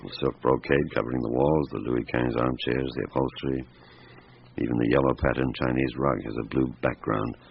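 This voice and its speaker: An actor playing a brooding, weary middle-aged chief inspector in a radio drama, gruff, musing and unhurried. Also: male voice, rumbling low, steady tone, muffled recording. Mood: wry, observing. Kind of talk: radio drama acting with inner monologue. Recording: noisy band-limited radio broadcast recording.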